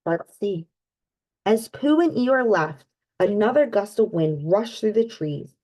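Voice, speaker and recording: A woman reading a picture book aloud for children, pausing to ask her young listeners questions. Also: medium pitch, lively intonation, mellow, low-quality recording. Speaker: children's read-aloud host. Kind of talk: storybook read-aloud.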